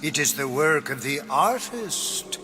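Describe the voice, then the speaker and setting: An actor playing an unhinged, sadistic artist and impresario in a video game, with theatrical, grandiose, lilting declamation and sudden outbursts. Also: male voice, fairly high pitch, very animated, unhurried. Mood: sly, menacing. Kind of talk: dramatic video game acting.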